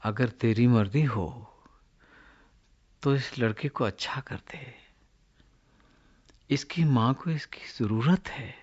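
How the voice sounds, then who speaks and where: A solemn, compassionate holy man pleads with fervent devotion. His voice is husky and breathy, his delivery unhurried, in a muffled religious drama recording. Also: mid-range voice, a little mellow. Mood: fervent pleading. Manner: solemn, pleading, slow in prayer. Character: devout male Israelite prophet, solemn, compassionate, fervent.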